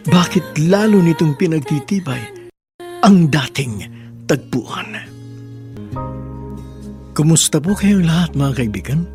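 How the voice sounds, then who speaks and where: A man hosting a radio drama with sentimental scripted narration. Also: on the high side. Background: music.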